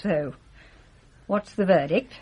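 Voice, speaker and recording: A woman's voice: fairly low pitch, slow pace, darkish tone, a little hiss or hum. An actress playing a shrewd, bedridden old English lady in a radio drama, teasing and imperious. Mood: wry. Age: older.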